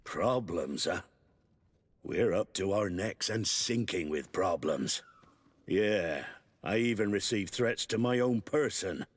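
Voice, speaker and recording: An actor playing a proud seafaring port commander in a fantasy video game, with formal, old-fashioned, salty phrasing. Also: male voice, deep voice, expressive, slow pace, husky, full character voice. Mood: indignant, pleading.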